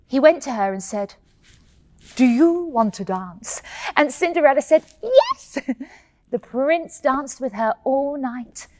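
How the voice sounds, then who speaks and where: A woman telling a children's bedtime fairy tale in an expressive, playful way, laughing often. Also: a little high, dry recording. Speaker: storyteller. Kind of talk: children's bedtime story reading.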